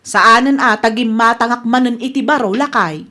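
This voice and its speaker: A woman's voice: a little high, normal speed, bright, forceful tone. A woman with an Ilocano Filipino accent acting a flustered village wife in a radio comedy drama. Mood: defensive. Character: flustered, blame-casting village wife, later apologetic.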